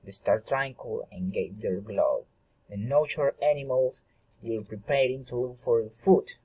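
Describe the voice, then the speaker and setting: A man narrating a fairy tale dramatically, with halting, deliberate phrasing and occasional stumbles. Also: mid-range voice, mellow, husky, poor audio.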